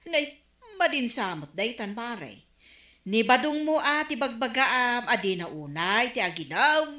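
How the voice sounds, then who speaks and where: A woman playing a defensive village liquor seller in an Ilocano radio comedy drama, animated and protesting. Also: on the high side, unhurried, reverberant, heavily acted.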